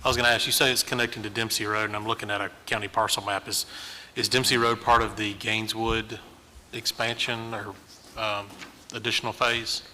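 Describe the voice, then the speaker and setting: A man's voice: on the low side, flat delivery, brisk, few pauses, bright, forceful tone, a little hiss or hum. A man on the commission board hesitantly asking staff questions at a public meeting. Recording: distant mic in a reverberant meeting hall.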